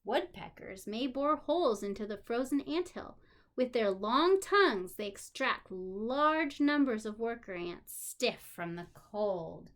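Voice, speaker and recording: Woman, higher-pitched voice, very lively intonation, children's read-aloud lesson, expressive, explanatory asides. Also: takes it slowly. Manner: expressive, addresses young listeners with questions and simple explanations. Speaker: special education teacher.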